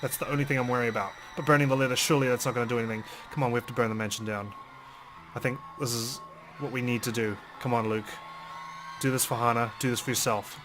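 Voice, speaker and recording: A man's voice: medium pitch, fairly even intonation, quick pace, music in the background. A man hosting a let's play, reading a visual novel aloud dramatically and commenting on it.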